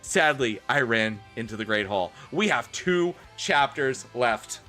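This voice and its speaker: A man with a bright, forceful voice reads fan fiction theatrically for a live stream. He often slips out of the story into amused comments, with music playing behind him. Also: mid-range voice, lively intonation, a little slow. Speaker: streamer doing a dramatic reading.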